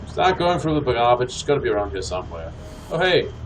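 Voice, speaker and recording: A man hosting a co-op gaming session, commenting casually and reading in-game text aloud with deliberate phrasing. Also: mid-range voice, sing-song, rough recording. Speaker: host of a co-op let's play. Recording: clear background noise, game ambience.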